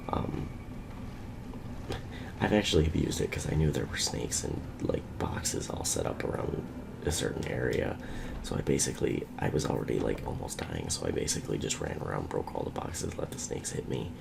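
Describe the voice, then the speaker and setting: A young man giving soft, rambling, half-whispered game commentary, nasal from a cold. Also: very deep voice, expressive, normal speed, gravelly. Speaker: let's play host. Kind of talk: whispered ASMR gameplay commentary. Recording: loud background hiss.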